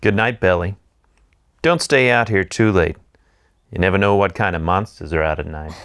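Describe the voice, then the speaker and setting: A man's voice: deep voice, normal speed, fairly bright tone, no room sound, studio quality. A man playing an aloof, condescending teenage boy in an audiobook table read, laconic and teasing. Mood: cool, casual.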